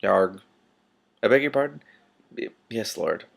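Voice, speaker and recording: A man's voice: fairly low pitch, expressive, a little slow, creaky, full character voice. Man playing a miserable, stammering cowardly wizard. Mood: miserable, flustered. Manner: stammering, hesitant.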